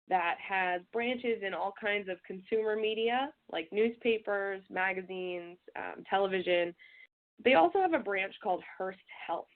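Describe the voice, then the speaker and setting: A woman presenting a software webinar, a little stuffy from a cold, talking in a relaxed way with frequent fillers. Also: mid-range voice. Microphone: web call audio. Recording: tinny phone-like audio.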